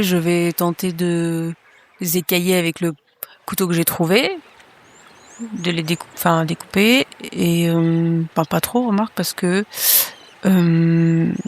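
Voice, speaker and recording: A player at a tabletop horror game talks through their character's actions in a casual, tentative way. They think out loud, pausing on fillers before settling on a choice. Their voice sits at a middle pitch. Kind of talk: casual play talk, declaring actions.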